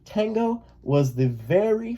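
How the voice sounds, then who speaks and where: A person reading a children's picture book aloud in a gentle, expressive way. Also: mid-range voice, takes it slowly. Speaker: read-aloud storyteller.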